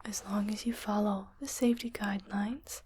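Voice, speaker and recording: A woman playing a calm, reassuring spaceship doctor in a soft, breathy ASMR whisper, speaking gently and soothingly. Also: medium pitch, moderate pace, slight background noise, close to an everyday voice. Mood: calm, clinical. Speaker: ASMR roleplayer. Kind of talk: soft ASMR medical roleplay.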